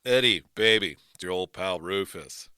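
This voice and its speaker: Man doing a pushy, chummy literary hustler, bright-voiced. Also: low-pitched, normal speed, clean tone, no room sound. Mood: chummy, wheedling. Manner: chummy, wheedling, slangy. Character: Rufus, pushy glad-handing nineteenth-century male literary rival. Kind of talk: comic dramatized dialogue in a history story podcast.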